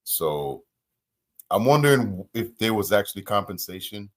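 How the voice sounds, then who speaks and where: A man's voice: fairly low pitch, very animated, normal speed. A man hosting a reaction channel, talking casually and musing aloud with rhetorical questions.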